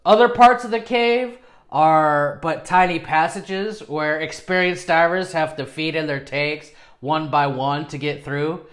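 Higher-pitched man presenting casually to camera. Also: steady tone, unhurried, fairly bright tone. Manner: self-deprecating, informal. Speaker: presenter of dive videos. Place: small home studio with acoustic foam, indoors.

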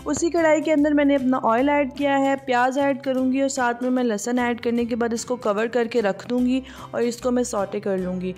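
A woman's voice: fairly high pitch, moderate pace, few pauses. Woman explaining a recipe over music. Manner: steady, explaining step by step with many fillers. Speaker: cooking channel host. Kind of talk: voice-over cooking instructions. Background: soft background music.

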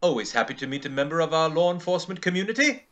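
A man's voice: fairly high pitch, sing-song, very fast, fairly bright tone. A man playing an urbane, genial alien chief in a radio serial, speaking with genteel, wry courtesy. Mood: genially amused. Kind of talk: old-time radio serial acting.

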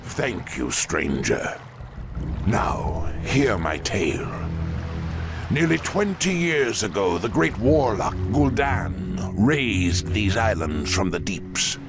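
Slow, weary old orc warlock. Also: male voice, lowish voice, big pitch swings, vocal fry, over music, full character voice. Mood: weary, solemn. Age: older. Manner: solemn, slow storytelling. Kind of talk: dramatic fantasy game acting.

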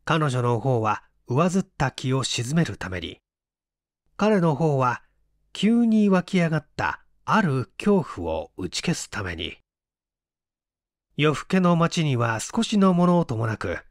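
A man reading a mystery story aloud as scripted narration, in a measured, suspenseful, theatrical manner. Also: on the high side, no room sound, clean studio sound. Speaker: audiobook reader.

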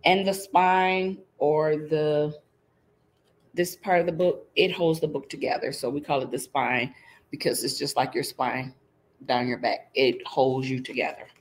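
A woman's voice: low voice, little pitch movement, a little slow, slight background noise. A middle-aged woman reading a picture book aloud to young children, teacherly and interactive, checking in with her listeners. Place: indoors in front of a green screen.

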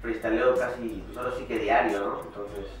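A man's voice: medium pitch, moderate pace, far from the mic. A man, a rapper talking casually as a guest with lots of slang and fillers. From born in Chicago, raised in Mexico City. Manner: relaxed, slangy, many fillers and restarts. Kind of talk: casual interview chat. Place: small home recording studio. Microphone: far camera mic. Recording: echoey room, voice from afar.

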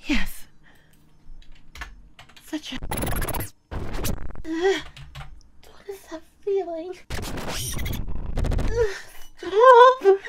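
A high-pitched voice actress plays a woman obsessed with having a family and coming apart as she mutters to herself. Her delivery is extremely slow and halting, in the intimate, dramatic style of an audio roleplay. Faint noise sits behind the voice. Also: darkish tone, lo-fi sound, exaggerated voice. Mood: distressed. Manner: halting, muttering to herself, swinging between whispers and outbursts. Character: obsessive, desperate, unravelling woman longing for a family.

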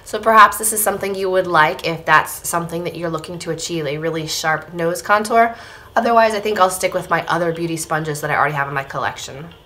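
A woman in her thirties, slightly hoarse, giving a casual beauty product review to camera at home. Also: fairly low pitch, fast, sharp tone, slight background noise. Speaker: beauty vlogger. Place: small room at home, indoors.

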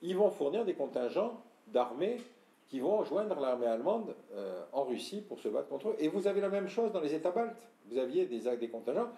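An older man from France giving a talk to an audience, explaining and addressing listeners directly, often clearing his throat. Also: a little high, big pitch swings, normal speed, warm tone. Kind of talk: lecture with audience questions. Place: room with audience. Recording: echoey room.

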